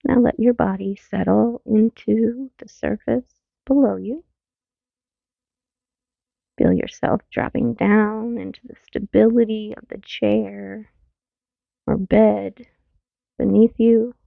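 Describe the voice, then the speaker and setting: A woman mindfulness teacher leading a guided meditation in a gentle, soothing manner, leaving long pauses between phrases. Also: mid-range voice, steady tone, creaky, dry recording. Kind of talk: guided meditation, body scan. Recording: narrow phone-like sound, very dry.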